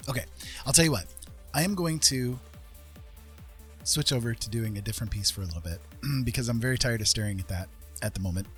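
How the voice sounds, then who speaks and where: A man's voice: mid-range voice, brisk, long pauses, fairly bright tone, faint music behind. A man hosting a casual art livestream, chatting in a rambling, folksy way. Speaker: livestream host and artist. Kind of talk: casual livestream chat while making art.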